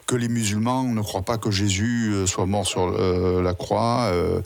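A man's voice: on the low side, fairly even intonation, a little slow, vocal fry, darkish tone. A man hosting a radio conversation, asking questions and interjecting with short affirmations. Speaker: radio host.

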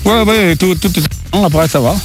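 A man's voice: sits high, normal speed. A man with a Dutch accent, a racing driver, giving short, understated casual interview answers. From Netherlands. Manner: laconic, understated. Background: music.

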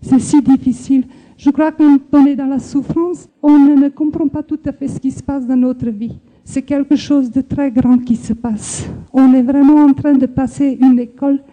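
Earnest older woman, very bright high voice. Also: fairly even intonation, poor audio. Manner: slow, earnest, reflective with hesitations. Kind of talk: personal faith testimony.

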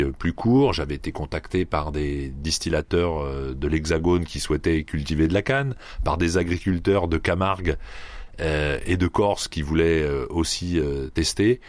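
A man in his fifties from the Paris region, an agronomist answering interview questions in a measured way, with frequent hesitations and restarts. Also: extremely low pitch, no echo. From Paris region, France. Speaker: agronomist researcher, guest.

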